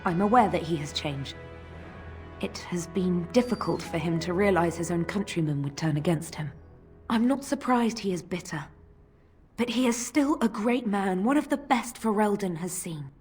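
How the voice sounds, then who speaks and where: A woman's voice: on the low side, expressive, moderate pace, darkish tone, faint music behind, lightly acted. A woman playing a loyal but conflicted lieutenant in a dramatic video game, speaking earnestly and formally. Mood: defensive, firm. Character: loyal, torn female lieutenant defending her lord.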